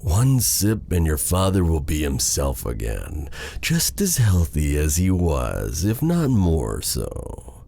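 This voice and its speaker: Very deep, silky dark sorcerer. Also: male voice, huge pitch swings, vocal fry, full character voice. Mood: sly, bargaining. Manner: theatrical, silky, teasing, deliberate delivery with low chuckles. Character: exiled dark sorcerer, smooth-talking, menacing, flirtatious villain. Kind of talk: ASMR fantasy audio roleplay.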